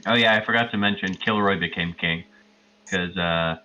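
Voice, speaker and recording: A man with a low but bright, forceful voice chats as a player in a tabletop game. His delivery is halting and casual, stopping to hunt for the next word. It sounds slightly dull, like lo-fi voice chat. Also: faint music behind. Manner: halting, pauses to search for words. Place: online voice call.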